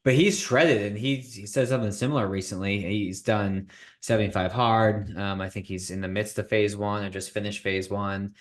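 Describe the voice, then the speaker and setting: Low-voiced joking podcast host, a man. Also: steady tone, moderate pace. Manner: candid, jokey, self-deprecating. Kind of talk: casual podcast chat.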